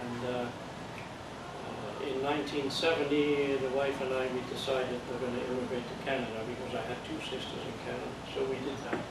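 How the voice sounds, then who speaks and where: A man's voice: medium pitch, little pitch movement, normal speed, vocal fry. Older Dutch-accented man lecturing in an echoey room. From Netherlands. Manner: plain, patient explaining with jokes and check-ins. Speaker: amateur radio course instructor. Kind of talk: classroom lecture. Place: classroom. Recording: echoey room from far off, noisy.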